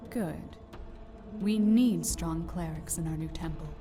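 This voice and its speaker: Slow, imperious vampire goddess. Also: female voice, low-pitched, mellow. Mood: silky, pleased. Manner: languid, commanding, breaking into whispers. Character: imperious vampiric goddess, silky, menacing, demanding. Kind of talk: dark fantasy visual novel acting. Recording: music behind the voice.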